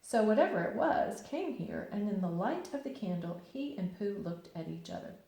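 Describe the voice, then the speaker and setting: Expressive woman reading aloud in echoey room. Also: medium pitch, moderate pace, gravelly. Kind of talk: expressive children's storybook narration.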